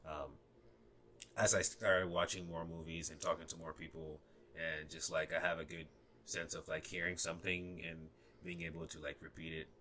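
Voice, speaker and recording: A man's voice: rumbling low, flat delivery, normal speed, pushed and bright, a little hiss or hum. A young man in his twenties from Ghana, a native Ga speaker with a slight Ghanaian accent, talking hesitantly with many fillers and restarts in a casual podcast conversation. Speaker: guest.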